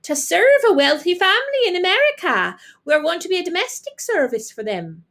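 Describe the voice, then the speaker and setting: A woman voicing a brisk, chatty neighbour woman in a storybook, emphatic and matter-of-fact. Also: extremely high pitch, big pitch swings, a bit bright, voice pushed up, full character voice.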